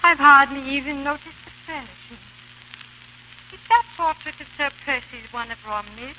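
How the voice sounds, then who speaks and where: A woman's voice: high-pitched, moderate pace, lightly acted. A woman playing an anguished, determined young noblewoman in a theatrical, urgent 1930s radio drama style. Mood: wistful. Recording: dull, faintly noisy 1930s radio recording.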